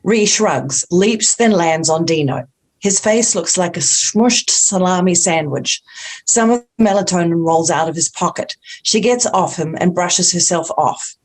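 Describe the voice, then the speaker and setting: A woman's voice: fairly low pitch, a little slow. A woman reading the stage directions at a script table read.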